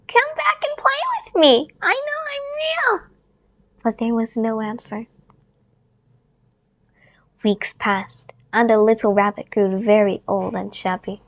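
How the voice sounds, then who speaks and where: Gentle woman reading a children's story. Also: medium pitch. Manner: gentle, expressive read-aloud, sometimes hushed. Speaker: storybook reader.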